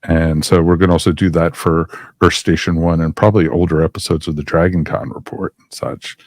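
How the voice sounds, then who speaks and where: Male podcast host, very deep voice, dry close mic, loose rambling delivery. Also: level tone, fairly quick, no echo. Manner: rambling, many fillers. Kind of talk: casual podcast chat.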